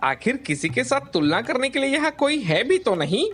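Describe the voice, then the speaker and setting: A man's voice: extremely high pitch, very animated, normal speed, a bit bright, faint music behind, heavily acted. Manav, a man voicing a greedy rival seller. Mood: scheming. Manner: scheming, pleading. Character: greedy jealous adult male rival seller. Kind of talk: cartoon voice acting.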